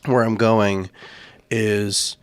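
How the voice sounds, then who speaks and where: A man's voice: fairly low pitch, little pitch movement, drawn-out words, clean tone, dry recording. A man hosting a podcast, talking earnestly in casual chat, thinking aloud and restarting his sentences as he goes. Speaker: podcast host.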